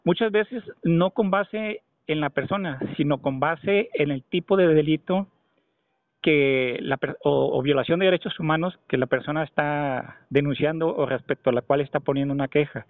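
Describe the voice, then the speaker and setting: A man's voice: a little high, normal speed, dry recording. Insistent man on a tinny phone line. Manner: insistent, argumentative, long-winded. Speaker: caller. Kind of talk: phone call.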